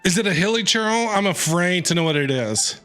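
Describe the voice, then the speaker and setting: A woman's voice: lowish voice, animated, brisk, fairly bright tone, faint music behind. A woman streamer giving joking, chatty commentary while playing, talking back to the characters. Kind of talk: let's play commentary.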